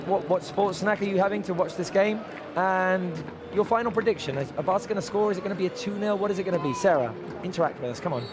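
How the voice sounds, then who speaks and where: A raspy male football host with a fairly high voice talks straight to his live audience, repeating himself as he goes. He mixes match commentary with chat. Loud background noise surrounds him. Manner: animated, repeats himself, addresses viewers directly.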